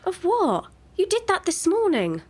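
A woman's voice: very high-pitched, huge pitch swings, measured pace, full character voice. An actress playing a demanding, insecure young woman who wants reassurance, in a naturalistic audio drama. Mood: bossy. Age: young adult. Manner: insistent, needy.

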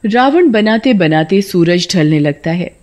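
A woman's voice: lowish voice, animated, a little slow, a little mellow. Woman narrating a children's story.

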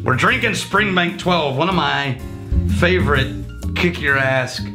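A man in his thirties hosting a casual whiskey tasting, joking and lecturing with lively gestures in a home bar. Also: fairly high pitch, unhurried, music in the background. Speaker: whiskey reviewer and teacher. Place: home bar room lined with bottle shelves.

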